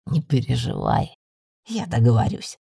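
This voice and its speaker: A woman's voice: extremely low pitch, huge pitch swings, unhurried, gravelly, warm tone, husky, dry recording, clean studio sound, voice pushed down, heavily acted. A woman voicing a cheeky little male axolotl familiar, teasing and fondly patronizing in a self-assured way. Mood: calm, assured.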